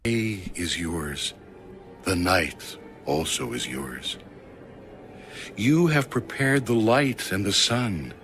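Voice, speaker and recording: A man reading scripture aloud in a solemn, formal, measured and dramatic style, as an audio Bible narrator. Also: low voice, sing-song, fairly bright tone. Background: background music.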